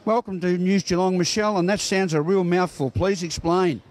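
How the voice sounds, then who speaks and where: Halting Australian man interviewing on local TV. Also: high voice, fairly even intonation. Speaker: TV news interviewer.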